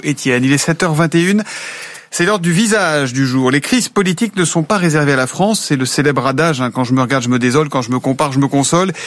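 Brisk, chatty male morning radio host. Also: fairly high pitch, fairly bright tone, no echo.

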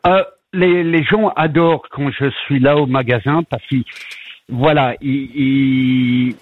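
A middle-aged man from northern France, with a French accent and a slight Yorkshire tinge, calls in to a radio show and talks haltingly with many fillers. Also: medium pitch, steady tone, husky. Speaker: caller, baker abroad. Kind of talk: phone-in chat on radio. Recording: tinny phone line, dry.

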